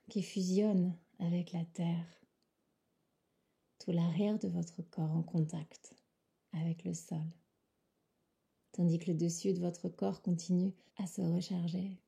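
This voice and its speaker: A woman guides a relaxation session in a very dark, mellow voice. It is extremely breathy and often slips toward a whisper. Her phrasing is calm, soothing and unhurried, pitched on the low side. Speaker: yoga nidra guide.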